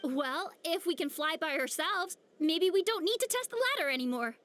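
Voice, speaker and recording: A woman's voice: very high voice, lively intonation, moderate pace, fairly bright tone. An outspoken, feisty young woman. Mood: arguing. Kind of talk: video game cutscene dialogue.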